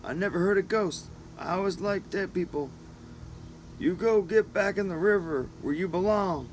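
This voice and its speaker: A man's voice: high voice, normal speed, slight background noise, voice pushed up, natural voice. A man voicing a terrified, superstitious man who pleads for mercy in a storybook reading. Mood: terrified pleading. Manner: pleading, frightened exclamations.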